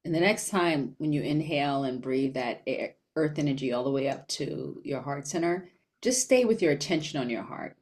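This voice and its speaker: A woman leading a guided meditation in a gentle, unhurried manner with long pauses. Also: sits low, lively intonation.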